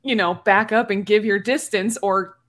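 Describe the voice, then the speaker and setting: A woman's voice: medium pitch, fairly even intonation, normal speed, fairly bright tone. A woman in a casual podcast conversation, talking very informally with lots of "like.". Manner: informal, full of "like".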